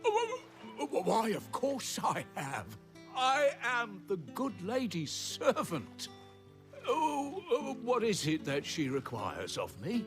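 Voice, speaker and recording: Wordy, fawning trader for a game cutscene, highish slow voice, music behind. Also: male voice, wildly swooping pitch, exaggerated voice. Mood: flustered, fawning. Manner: haughty then obsequious, wordy, hesitant. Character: flustered, fawning male market trader eager to please. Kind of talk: video game cutscene acting.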